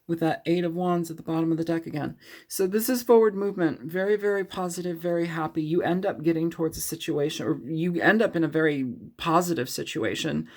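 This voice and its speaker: A woman's voice: low voice, brisk, darkish tone. A woman giving a casual tarot reading to camera, sniffly from a cold, talking in a loose, rambling way full of fillers, often laughing. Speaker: tarot reader.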